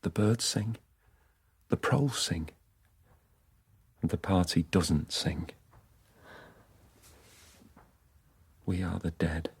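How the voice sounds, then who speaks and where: An actor playing an earnest, yearning, melancholy rebel in a radio drama. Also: male voice, deep voice, slow, vocal fry, husky, heavily acted. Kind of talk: British radio drama acting.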